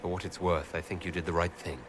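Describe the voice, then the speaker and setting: A man's voice: deep voice, level tone, quick pace, background noise, natural voice. An actor, a man, playing a resolute heroic leader in a fantasy game, earnest and determined. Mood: reassuring. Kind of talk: dramatic fantasy game acting. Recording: music behind the voice.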